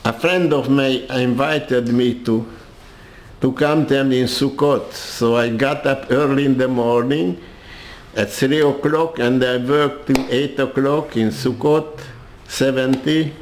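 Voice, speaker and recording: Slow, halting older Hungarian-accented man. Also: mid-range voice, roomy sound. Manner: halting, with many restarts and repeated words. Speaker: interviewee. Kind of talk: personal storytelling.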